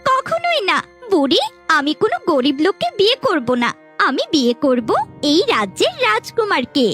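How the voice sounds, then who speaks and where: A woman's voice: high voice, sing-song, normal speed, a bit bright. A woman voicing a spoiled, haughty little girl in a cartoon fairy tale, whiny, rude and pouting. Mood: haughty, defiant. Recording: faint background music.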